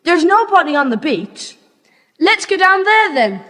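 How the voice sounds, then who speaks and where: A woman's voice: very high voice, very animated, unhurried, pushed and bright, heavily acted. A woman playing a plucky, earnest young local child in a children's audio adventure. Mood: eager, urgent. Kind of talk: children's audio play acting. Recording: long reverb, some noise.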